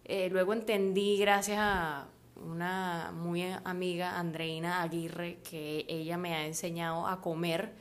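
A young woman with a Maracaibo Venezuelan accent, co-hosting a casual chat and laughing easily, with lots of "o sea". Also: fairly low pitch. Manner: chatty, laughs easily, uses fillers like "o sea". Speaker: podcast co-host.

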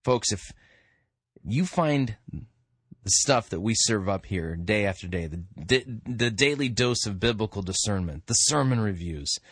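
A man hosting a radio show, giving informal commentary with emphatic, drawn-out delivery. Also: on the low side, animated, husky, dry recording, clean studio sound.

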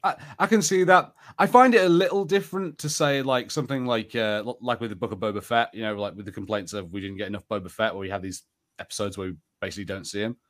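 A man with a fairly low voice talks as a podcast co-host. His delivery is casual and conversational, rambling along with lots of "like" as he gives his opinions. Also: fast. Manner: rambling and conversational, heavy use of "like". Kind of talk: casual chat about TV shows.

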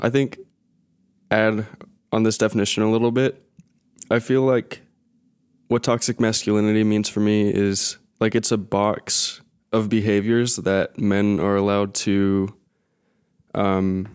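A man's voice: lowish voice, fairly even intonation, a little slow, a little mellow, clear, resonant tone. A man on a discussion panel, talking casually and thoughtfully.

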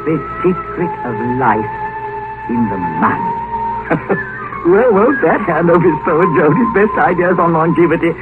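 An actor playing an amused, excitable scholar who muses aloud in a conversational 1950s radio drama style. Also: male voice, a little high, unhurried. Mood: amused, intrigued. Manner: chuckling, hesitant, musing aloud. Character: amused, excitable scholar reading out an old diary. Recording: tinny 1950 radio broadcast with music.

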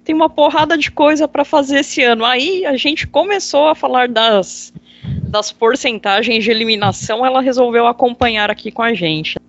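A woman hosting a casual podcast chat, relaxed and jokey, swearing freely. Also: on the high side, moderate pace, dry recording. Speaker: podcast host. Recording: dull lo-fi sound with music behind.

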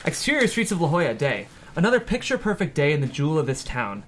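A man's voice: a little high, sing-song, fast. A man narrating the stage directions at a screenplay table read, in scripted narration.

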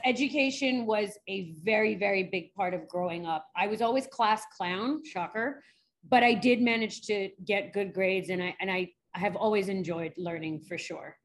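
Brief: woman in her thirties, guest psychologist, animated and candid, quick laughs. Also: mid-range voice. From New York. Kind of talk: casual interview chat.

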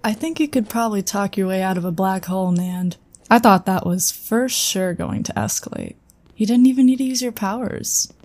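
An actress playing an earnest psionic agent in a sci-fi audio drama, speaking conversationally. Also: female voice, medium pitch, normal speed, a little mellow, lightly acted. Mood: casual. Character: earnest female psionic agent, loyal but wary.

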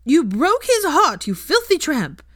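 A woman's voice: high voice, huge pitch swings, measured pace, a bit bright, voice pushed up, exaggerated voice. A woman voicing an angry, scornful writer of hateful letters in an audiobook story. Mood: outraged. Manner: venomous, scornful. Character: angry letter writers shrieking venomous insults.

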